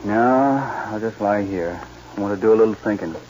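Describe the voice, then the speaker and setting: An actor playing an easygoing but stubborn cowboy loner in a 1940s western radio drama, with a folksy, plainspoken way of talking. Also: male voice, fairly low pitch, normal speed, a little mellow, natural voice. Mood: mild, reflective. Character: easygoing, stubborn young-hearted cowboy loner called Wyoming. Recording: dull 1940s radio recording, music.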